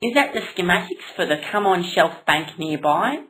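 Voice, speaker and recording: A woman's voice: lowish voice, lively intonation, normal speed, a bit bright, pitched above the performer's own voice, poor audio. A woman voicing a thin, nosy, friendly godson with a nasal voice in a comic puppet show. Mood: chirpy, curious.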